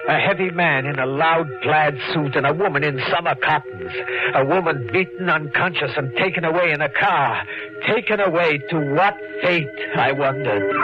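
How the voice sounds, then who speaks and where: An actor playing a timid, guilt-haunted shopkeeper in 1940s radio drama style, intense, halting and nervous. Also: male voice, on the high side, close to an everyday voice. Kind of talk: 1940s radio drama acting, first-person narration. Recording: muffled old radio broadcast with music.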